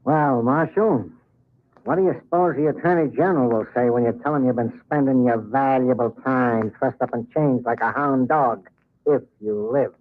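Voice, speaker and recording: A man's voice: mid-range voice, normal speed, close to an everyday voice. A man playing a sneering, taunting outlaw henchman with an American accent in an old-time radio western. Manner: taunting tough talk. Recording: tinny vintage radio recording.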